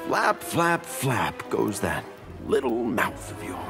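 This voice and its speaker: An arrogant video game superhero who thinks himself a god. His delivery is slow and mocking, swinging widely in pitch with a heavy creak. He condescends with menace, and music plays behind him. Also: sing-song, heavily acted. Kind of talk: fighting game character dialogue.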